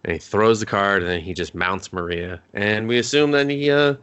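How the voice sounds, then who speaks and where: A man's voice: on the low side, a bit bright, smooth. A man co-hosting a movie review podcast, recapping scenes in casual, joking banter and acting out the characters' lines. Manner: joking, acts out scenes in quick put-on voices. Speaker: podcast co-host.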